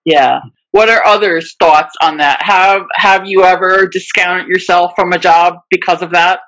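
A woman's voice: lowish voice, steady tone, unhurried, a bit bright. A woman hosting a video call discussion, speaking with a stutter that repeats words and sounds. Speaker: webinar host.

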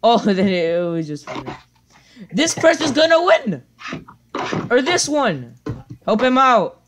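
A young woman chatting casually with a friend while livestreaming a game, playful and quick to react. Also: on the high side, very animated, noisy background. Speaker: streamer playing a game. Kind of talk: casual gaming chat.

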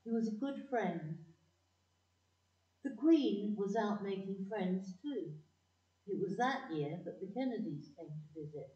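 A woman's voice: medium pitch, expressive, a little slow, pauses often, noisy background, close to an everyday voice. A woman playing a girl fondly narrating memories in an audio play. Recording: echoey, distant, dull sound.

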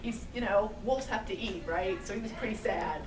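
A woman's voice: mid-range voice, moderate pace. A middle-aged woman telling a story to young children in simple phrases, often putting questions to them. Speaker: storyteller and puppeteer. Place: daycare classroom, indoors. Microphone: camera mic at some distance. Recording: echoey noisy room, distant camera mic.

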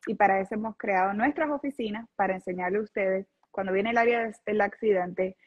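A woman's voice: medium pitch, a little fast, husky. A woman in her thirties, a lawyer, explaining cases in earnest on a video call with frequent restarts. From lived in Venezuela, not born there. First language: Spanish. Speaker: personal injury lawyer, guest. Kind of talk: explaining legal cases in an interview. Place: office with a city window behind. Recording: dull lo-fi video call audio.

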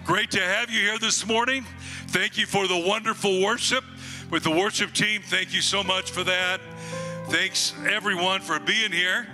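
An older man in his sixties from the United States, a guest pastor with a booming voice, preaching and praying slowly into a handheld microphone on a church stage. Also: steady tone. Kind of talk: sermon and prayer. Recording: long hall reverb, faint music behind.

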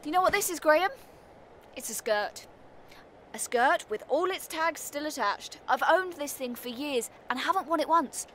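A sardonic British woman neighbour in an audio comedy play. Her voice sits high and cuts brightly. She is brusque and defiant, heavy on sarcasm. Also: animated, moderate pace, slight background noise.

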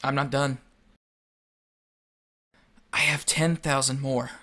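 A man acting in an audio drama as a subdued, earnest young man with a halting way of talking. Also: medium pitch, fairly even intonation, sharp tone. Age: young adult. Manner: halting, hesitant.

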